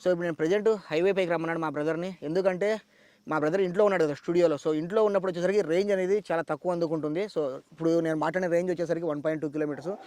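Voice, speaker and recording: A man's voice: on the high side, steady tone, rapid-fire, a little mellow. A man with a Telugu accent presenting a product review, explaining things step by step to viewers. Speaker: tech reviewer.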